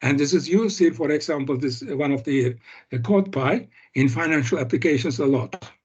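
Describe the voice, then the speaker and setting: An older man giving an academic seminar on a video call, speaking haltingly with many fillers and restarts. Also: fairly high pitch, a little mellow, husky. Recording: muffled video call audio.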